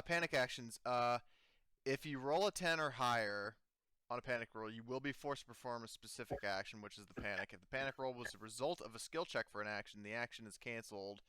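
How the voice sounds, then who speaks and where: A man's voice: medium pitch, a little fast, pushed and bright, clean tone, no echo. A man acting as game master, talking casually and thinking aloud through the rules, with some swearing. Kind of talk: casual tabletop roleplaying game talk.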